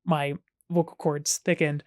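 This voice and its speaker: A woman talking as a podcast guest, casual and self-deprecating, sometimes jumping up in pitch when she jokes. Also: flat delivery, a little slow, no room sound, clean studio sound. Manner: chatty and self-deprecating, with sudden playful jumps in pitch.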